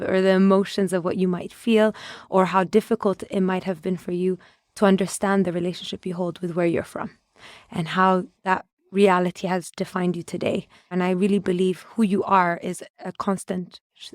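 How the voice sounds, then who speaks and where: A woman's voice: on the low side, flat delivery, normal speed, no echo, clean studio sound. A woman from Ethiopia, a guest on an interview podcast, answering thoughtfully and restarting words as she gathers her ideas. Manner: thoughtful, with frequent restarts while searching for words. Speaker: conservation adviser, podcast guest.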